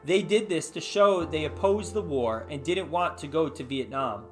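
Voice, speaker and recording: Higher-voiced man reading aloud, music behind. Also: normal speed. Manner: informal, chatty asides to listeners. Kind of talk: casual read-aloud of an interactive book.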